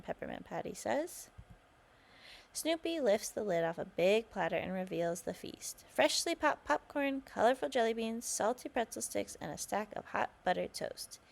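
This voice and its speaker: Woman storyteller with heavy vocal fry. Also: medium pitch, animated, moderate pace. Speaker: children's read-aloud storyteller. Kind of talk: scripted storybook narration for children.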